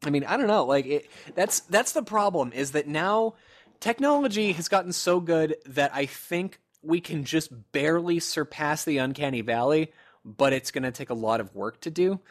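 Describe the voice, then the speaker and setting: A man co-hosting a casual gaming podcast, speculating excitedly and rambling with lots of fillers. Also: on the high side, normal speed. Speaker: podcast co-host.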